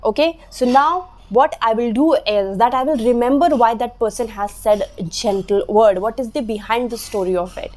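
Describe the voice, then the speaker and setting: A woman's voice: fairly high pitch, moderate pace, hardly any pauses, a little mellow. A young woman in her twenties whose first language is Hindi, speaking English with an Indian accent and earnestly explaining vocabulary tips to camera, repeating phrases for emphasis. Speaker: English teacher, channel host. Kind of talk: instructional talk to camera. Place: small room indoors, slatted wall.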